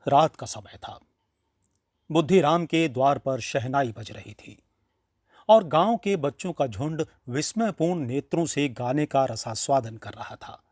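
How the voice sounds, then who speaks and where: A man narrating a classic short story in an expressive, dramatic audiobook style with deliberate phrasing. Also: mid-range voice, no echo, studio quality.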